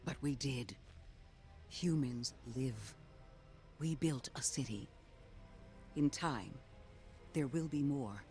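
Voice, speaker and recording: An actor voicing a calm, measured man who guides newly woken people, speaking slowly and reassuringly in a video game cutscene. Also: mid-range voice, faint music behind, close to an everyday voice. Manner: measured and reassuring, slow deliberate phrasing.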